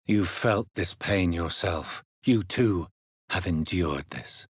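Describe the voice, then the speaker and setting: A man playing a grave, courteous, troubled gentleman doctor turned vampire in a video game, speaking formally and earnestly with a husky voice. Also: sits low, slow pace, no room sound, studio quality, heavily acted. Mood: sorrowful, troubled. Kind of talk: dramatic video game dialogue.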